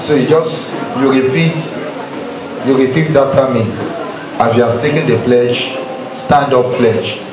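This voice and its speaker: A man's voice: medium pitch, measured pace. A man with a Nigerian Yoruba accent speaks as a church youth leader, exhorting a congregation with repeated emphasis. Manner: rhetorical questions to listeners, repetitive emphasis. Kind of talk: exhortation to a congregation, leading a pledge. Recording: very echoey hall with crowd noise. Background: congregation murmur.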